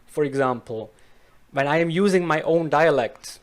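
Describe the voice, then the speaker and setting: A Swiss man whose first language is Swiss German, speaking careful, slow learner's English as an interview guest. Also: on the high side. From Switzerland. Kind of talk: casual interview chat.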